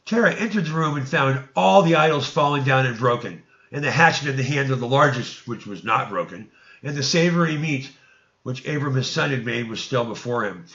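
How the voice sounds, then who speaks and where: Gravelly man narrating scripture, dull lo-fi sound. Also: medium pitch, lively intonation, fairly quick, a bit bright, roomy sound. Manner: theatrical, stumbles and repeats words. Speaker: reader. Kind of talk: dramatic narration of scripture read aloud.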